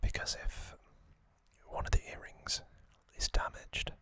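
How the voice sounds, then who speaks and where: A man whispers an ASMR narration very close to the microphone in a dry space. His breathy whisper is crisp and sibilant, with no real tone to it. He goes very slowly, placing each short phrase deliberately to soothe the listener. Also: pushed and bright, no echo. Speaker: ASMR host.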